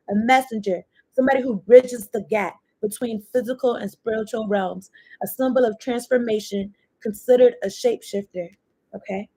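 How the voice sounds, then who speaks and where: A woman's voice: a little high, slightly rough, poor audio. A woman doing a casual tarot reading, chatty and rambling as she free-associates between cards and thoughts, swearing now and then. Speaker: tarot card reader.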